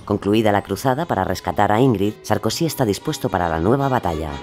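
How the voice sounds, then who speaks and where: A man narrating a scripted documentary in a measured, expository way. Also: lowish voice, lively intonation, darkish tone, faint music behind.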